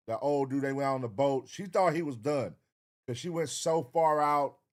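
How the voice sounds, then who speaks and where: An American man hosting a reaction show, giving casual, profane commentary in a blunt, slangy way. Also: medium pitch. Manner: blunt, slangy, animated quoting of imagined dialogue. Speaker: reaction video host.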